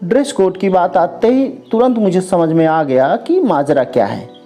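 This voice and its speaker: A storyteller narrating a scripted first-person story in an expressive, dramatized way. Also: medium pitch, a little slow. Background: background music.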